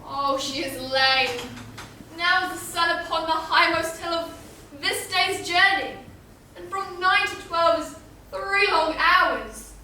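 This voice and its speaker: Woman acting lovesick impatient teen girl, shakespeare play, super high bright voice, slow and pleading, echoey. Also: full character voice. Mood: impatient, brooding. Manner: pleading, theatrical delivery. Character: impatient, lovestruck teenage girl awaiting news. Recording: echoey hall, distant mic, faint noise.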